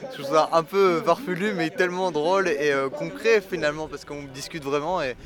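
A man in a casual street interview, talking haltingly about why he comes to the gathering. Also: on the high side. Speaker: participant interviewed. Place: outdoor city square. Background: crowd.

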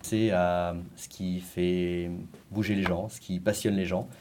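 A man's voice: sits low, flat delivery, normal speed, noisy background. A man with a French accent, a journalist giving spontaneous answers in an interview.

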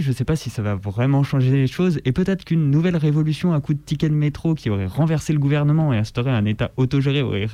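A man's voice: on the high side, dark tone, no echo. A man with a French accent giving casual commentary as co-host of a radio show. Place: radio studio.

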